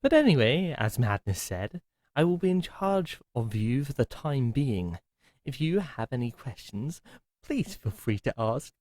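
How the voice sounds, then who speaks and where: A man's voice: medium pitch, very animated, measured pace, darkish tone, no echo. Man playing a bouncy, theatrical butler. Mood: chipper. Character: chipper male butler with a hidden menace. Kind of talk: ASMR roleplay audio drama.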